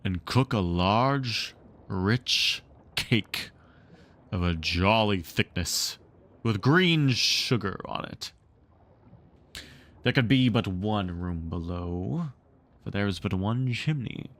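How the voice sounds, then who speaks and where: A villainous storybook pirate captain, played by a man reading aloud, speaks with slow menace. He gloats as he draws out each phrase through gritted teeth, with swooping emphasis. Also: lowish voice, lively intonation, a bit bright, full character voice. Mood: sly gloating. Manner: slow, drawn-out speech through clenched teeth.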